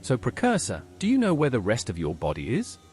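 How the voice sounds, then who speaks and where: A man's voice: mid-range voice, huge pitch swings, brisk. A man's voice playing a direct, inquisitive adventurer in a video game, asking blunt questions. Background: very loud game music.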